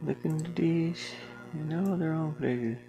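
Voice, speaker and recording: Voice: mid-range voice, slow pace, mellow, soft background music, poor audio. A game developer talking casually to themselves while they work, muttering with playful asides. The speaker's voice could be a man's or a woman's.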